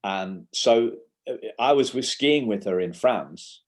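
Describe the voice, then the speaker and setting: A man in his fifties with a Yorkshire accent talks in a somewhat low voice over a video call. He explains things in short bursts, restarting his sentences and leaning on fillers as he goes. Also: lively intonation, takes it slowly. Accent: Yorkshire English. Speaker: guest, educational publisher and school workshop speaker. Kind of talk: conversational interview.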